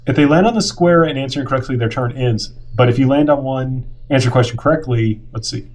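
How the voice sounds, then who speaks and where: A man's voice: mid-range voice, fast, a little mellow. A man running a casual tabletop game, explaining the rules and thinking aloud as he rephrases, with group chatter around him. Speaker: game designer and teacher running the game.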